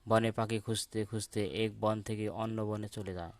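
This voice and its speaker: A man's voice: fairly low pitch, little pitch movement, normal speed. A man narrating a children's cartoon story as a voice-over.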